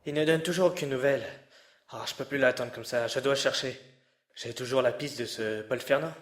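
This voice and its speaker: A man's voice: mid-range voice, fairly quick, a little hiss or hum, natural voice. A man playing an anxious, guilty amateur intruder in a French audio drama, muttering to himself and stammering when threatened. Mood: tense, resolute. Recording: music behind the voice.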